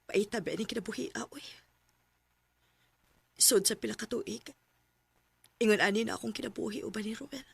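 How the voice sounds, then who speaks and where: An actress playing a long-suffering young wife and dutiful daughter in a Filipino radio drama, emotive and plaintive, with a Cebuano accent. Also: female voice, mid-range voice, moderate pace, creaky, pauses often, slightly rough, no echo. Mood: plaintive, inward.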